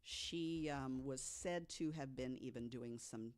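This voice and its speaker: A podcast co-host telling stories from notes to the others in a deliberate way, with emphatic asides. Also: medium pitch. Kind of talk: storytelling from notes to co-hosts.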